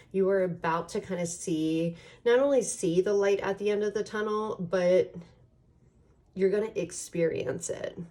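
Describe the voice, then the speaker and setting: A relaxed, mid-pitched woman talks through a card reading in a casual, playful, informal way. Her voice has a slight rasp from a lingering cold. It is picked up a little way from the microphone. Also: far from the mic. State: getting over a cold or allergies. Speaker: tarot reader hosting a pick a card channel.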